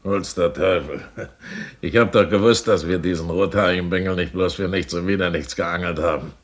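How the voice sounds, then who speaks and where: A gruff pirate captain in a radio play. His voice sits very low, and he talks in a coarse, blustering, distrustful way. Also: moderate pace. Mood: gruff, swearing. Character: gruff, suspicious, swearing male pirate captain. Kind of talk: German radio play acting.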